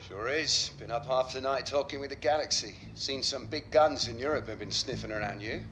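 A man's voice: medium pitch, fairly quick, fairly bright tone, soft background music, close to an everyday voice. An actor playing a middle-aged father and football agent in a game cutscene, direct and informal. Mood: businesslike. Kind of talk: video game cutscene acting.

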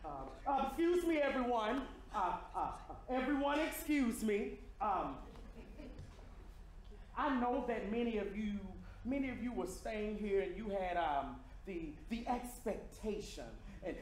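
A man's voice: sits high, expressive. A man playing a flustered, starstruck hotel employee in a stage comedy, stammering and eager. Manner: stammering, halting. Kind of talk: broad stage comedy acting. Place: theatre stage before an audience. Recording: echoey theatre stage, some background noise.

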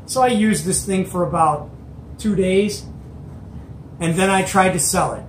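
A middle-aged man telling a casual story to camera as host, in an animated, emphatic, colloquial way. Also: high-pitched, unhurried. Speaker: host of a CB radio show. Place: small indoor hobby radio room. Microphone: camera or phone at arm's length. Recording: roomy echo, background noise.

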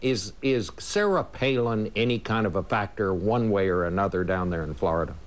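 An older man hosting a TV panel, asking questions in a halting way with many fillers. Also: on the low side, animated. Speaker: news show host. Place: TV studio.

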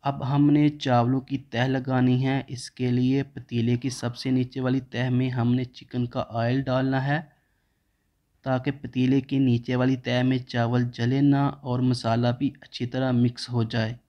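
A man's voice: mid-range voice, a little slow, muffled recording. A man, narrating a home cooking recipe step by step as the channel host. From Pakistan. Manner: steady step-by-step instructional tone.

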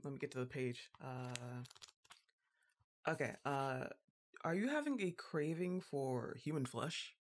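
Woman acting a wry goth giantess witch girlfriend. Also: sits low. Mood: checking, awkward. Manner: casual, wry, hesitant asides. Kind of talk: ASMR roleplay audio.

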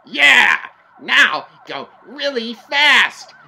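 Man voicing an excitable bumbling puppet cop. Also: extremely high pitch, extremely slow, gravelly, breathy edge, exaggerated voice. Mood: excited. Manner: hesitant, stammering, exclamatory. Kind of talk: comic puppet-show dialogue. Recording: loud music behind.

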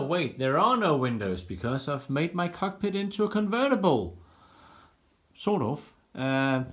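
A man giving a frank, self-deprecating walkthrough of his home build, filming on a handheld phone in a home workshop room. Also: medium pitch. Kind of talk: casual walkthrough vlog. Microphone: handheld phone camera.

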